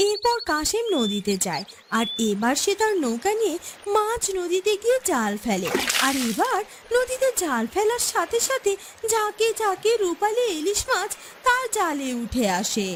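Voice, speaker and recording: A woman's voice: extremely high pitch, sing-song, moderate pace, noisy background. A woman narrating a scripted cartoon story as its storyteller.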